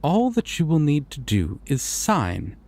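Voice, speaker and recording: A man playing a courteous, solemn god of dreams in a fantasy audio roleplay, with formal, deliberate phrasing. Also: on the high side, wildly swooping pitch, a little mellow, full character voice. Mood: gracious.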